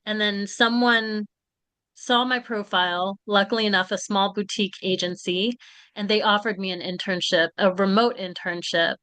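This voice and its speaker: A woman in her forties from Florida, a literary agent, talking in a measured, reflective way as an interview guest. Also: medium pitch, steady tone, a bit bright, clear, resonant tone, no echo. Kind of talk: conversational interview.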